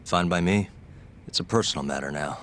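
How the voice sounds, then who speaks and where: A man's voice: low voice, a little fast, fairly bright tone, a little hiss or hum. An actor playing an easygoing, streetwise male private detective in an informal way for a video game dub. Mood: firm, unbothered.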